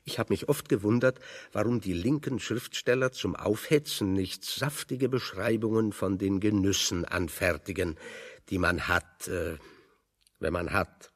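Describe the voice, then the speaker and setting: Low-voiced ironic exiled intellectual. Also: male voice, measured pace. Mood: ironic lecturing. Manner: ironic, discursive, pointed. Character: wry, pessimistic intellectual man in exile, fond of lecturing. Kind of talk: German radio drama dialogue.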